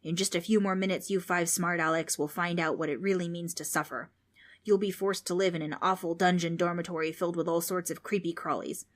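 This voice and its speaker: A woman reading aloud, voicing a loud, scornful male ghost who mocks children. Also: lowish voice, fairly even intonation, fairly quick, natural voice. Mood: mocking. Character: bossy male ghost, gruff and mocking.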